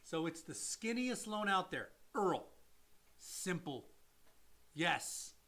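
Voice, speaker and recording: A man with a high voice co-hosts a casual mortgage talk show. He explains loan types to viewers in an emphatic way, repeats his key points, and throws in blunt asides. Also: lively intonation, takes it slowly, fairly bright tone. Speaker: mortgage loan officer co-host. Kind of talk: casual explanatory chat, answering viewer questions.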